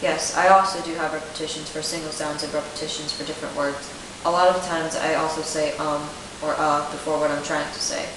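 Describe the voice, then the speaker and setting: A young woman playing a self-conscious eighteen-year-old client in a speech therapy interview, reading her answers in a rehearsed, even way. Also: deep voice, brisk, few pauses, natural voice. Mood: earnest. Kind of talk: scripted role-play. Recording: echoey room, distant mic, steady hiss.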